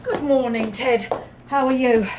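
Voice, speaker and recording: An actress playing a brisk, shrewd, composed mother-in-law in a radio drama, with an English accent. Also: a little high, natural voice. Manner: crisp, composed, managing. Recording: faint background noise.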